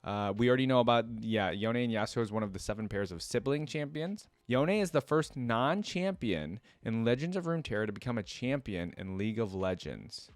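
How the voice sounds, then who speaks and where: A man's voice: medium pitch, animated, fairly quick, few pauses, no echo. A man giving casual reaction commentary as a channel host, chatting and reading aloud.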